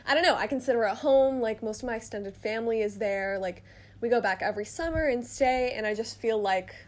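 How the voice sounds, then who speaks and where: A woman's voice: fairly high pitch, a little fast. A young woman from the United States co-hosting a podcast, chatting casually with lots of "like" fillers. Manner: chatty, many "like" fillers, laughs often. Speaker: podcast co-host. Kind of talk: casual chat. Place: indoors, in person with co-hosts.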